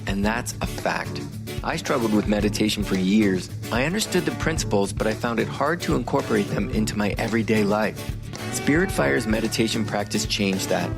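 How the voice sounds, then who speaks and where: A man's voice: on the low side, vocal fry. A man hosting a radio show in a conversational style.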